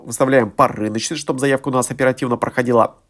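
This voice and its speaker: A man with a middling, ordinary voice talks through his portfolio as a voice-over on a phone screen recording. His delivery is hesitant, padded with drawn-out fillers between figures. It has the feel of a casual home-made investing channel. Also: mid-range voice, fairly even intonation, fairly bright tone. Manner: filler-heavy, hesitant with frequent "э".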